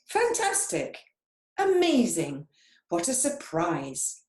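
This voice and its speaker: A fretful little boy chameleon, as a woman reads him aloud, high and sing-song with big swoops in his voice. He sounds flustered and pleading, slow and careful with each word. The reading rings in an echoey room. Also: sing-song, darkish tone. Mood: overjoyed. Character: anxious, earnest little male chameleon.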